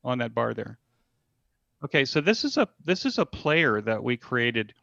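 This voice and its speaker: A man casually explaining and demonstrating something as an interview guest on a video call, in a halting way with repeated phrases. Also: medium pitch, sing-song, gravelly, bright, forceful tone, no room sound. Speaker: event organizer guest demonstrating a platform. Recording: slightly dull, band-limited audio.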